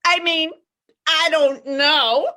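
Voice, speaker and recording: Woman acting a high-voiced, manic young blind date. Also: fairly even intonation, pushed and bright, heavily acted. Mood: rambling, awkward. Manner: halting, nervous, apologetic, over-eager to impress. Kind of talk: theatrical monologue, comedy reading.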